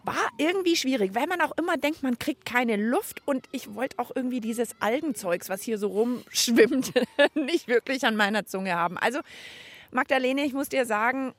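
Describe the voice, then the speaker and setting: A woman hosting a children's radio show, chatting in a playful, expressive way. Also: fairly high pitch, normal speed. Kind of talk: lively children's show chat.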